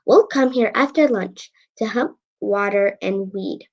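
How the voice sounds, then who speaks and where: A woman's voice: medium pitch, unhurried, gravelly. An eight-year-old girl reading a storybook aloud, sometimes stumbling and repeating phrases. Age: child, 8 years old. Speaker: young guest co-reader. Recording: dull lo-fi audio.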